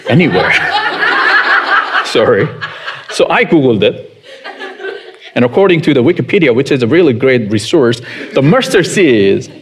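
A man in his thirties with a Korean accent, preaching a sermon in a chatty way and putting questions to the congregation. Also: mid-range voice. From South Korea. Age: 30s. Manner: unhurried and conversational, chuckling often, asking the congregation questions. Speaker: pastor.

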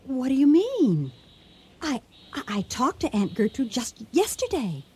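An anxious wife in a radio play. Her voice is high and soft-edged, unhurried but full of movement, over audible background noise. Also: huge pitch swings, full character voice. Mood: alarmed. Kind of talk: radio drama acting.

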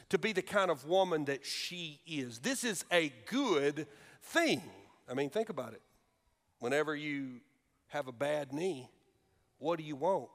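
A male pastor from Alabama preaching a sermon in a church, moving between slow, emphatic points and quick, chatty storytelling. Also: fairly high pitch, a bit bright. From Alabama, USA. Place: church sanctuary.

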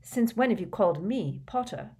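A woman's voice: on the low side, measured pace, lightly acted. A woman voicing a stubborn, plain-spoken teenage boy wizard in an audiobook, with a firm and direct manner. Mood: pointed.

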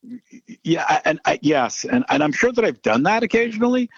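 A man's voice: high-pitched, sing-song, a little fast, creaky, no room sound, muffled recording. A man, a singer-songwriter guest, chatting casually in an interview, often stammering and restarting. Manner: hesitant, stammers and laughs often.

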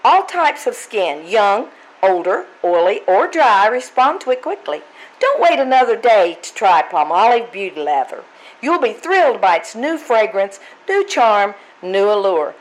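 A woman's voice: medium pitch, very animated, a little slow, low-quality recording. Woman as gushing, lilting radio ad announcer. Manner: gushing, emphatic. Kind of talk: 1940s radio commercial read.

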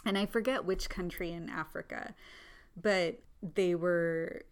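A woman with a slightly low, even voice speaks as a podcast guest. She tells her own story earnestly and thoughtfully, pausing often and easing in with small fillers. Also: clean tone. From Southern California, born in India. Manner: earnest, thoughtful, often pauses with "like" and soft laughs. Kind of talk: reflective personal storytelling in conversation.

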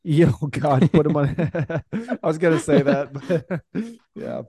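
A man co-hosting a podcast in casual chat, speaking haltingly with lots of filler "like". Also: a little high, gravelly, mellow, breathy edge, no room sound. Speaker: podcast co-host.

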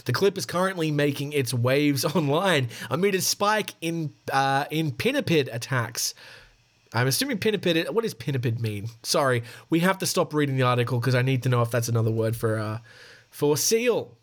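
Wry podcast host reading news. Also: male voice, on the high side, animated, normal speed. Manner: wry, sarcastic running commentary; stumbling, self-correcting reading. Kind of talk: reading news aloud with asides.

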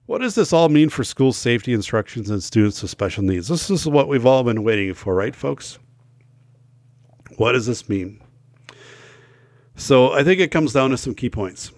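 A man's voice: mid-range voice, expressive, normal speed, pauses often, a little mellow, no echo. A middle-aged man hosting a school safety talk show, losing his voice and feeling unwell, speaking emphatically with many fillers and restarts. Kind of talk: casual talk to camera.

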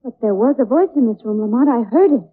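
A woman's voice: a little high, lively intonation, fast talker. An actress playing an anxious, frightened lady in a 1940s radio drama, breathless and melodramatic. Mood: uneasy. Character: anxious, frightened young lady, sweetheart companion to detective. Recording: narrow, muffled old radio recording.

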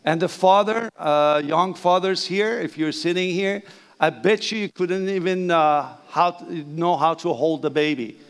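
Older male pastor, Persian accent, high-pitched, halting delivery in a reverberant church hall. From Iran. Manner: hesitant, halting, repeats phrases. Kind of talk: bilingual sermon. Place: church sanctuary.

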